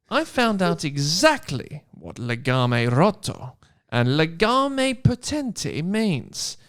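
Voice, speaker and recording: An earnest schoolgirl witch, put on by a man, lectures on magic in a comic storybook reading. The voice sits on the high side and moves very freely up and down, with deliberate, emphatic explanations. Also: sing-song, fairly bright tone, full character voice. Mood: earnest, explanatory.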